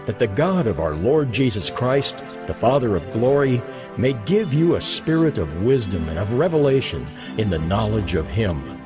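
Expressive male Bible narrator over music. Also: on the low side, normal speed. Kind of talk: dramatized scripture reading, scripted narration. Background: music under the voice.

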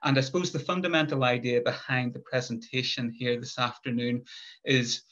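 A middle-aged man with a Northern Irish accent giving an academic talk over a video call, measured and thoughtful with frequent hesitations. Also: mid-range voice, steady tone, fairly bright tone. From Northern Ireland. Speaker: conference speaker, lecturer. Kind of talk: academic presentation. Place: home room, indoors. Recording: muffled video call audio.

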